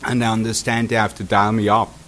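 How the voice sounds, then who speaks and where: A traveling pastor with a Swiss accent giving a sermon, talking in halting bursts full of fillers and restarts, then reading prepared text aloud. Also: male voice, fairly low pitch, level tone, a bit bright, a little hiss or hum. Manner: hesitant, many fillers and restarts. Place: congregation hall.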